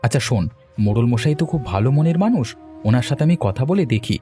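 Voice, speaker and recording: A man plays a young village friend in a cartoon. His mid-range voice is concerned and reassuring, eager to help. Soft music sits behind it. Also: medium pitch, lively intonation, fast talker, natural voice. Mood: encouraging. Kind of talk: cartoon voice acting.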